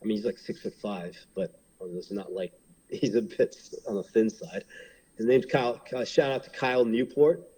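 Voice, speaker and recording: A man's voice: on the low side, moderate pace, mellow, breathy edge, rough recording. A man in his thirties from Hong Kong, a pro wrestler, talking casually in an interview and rambling with many fillers and restarts.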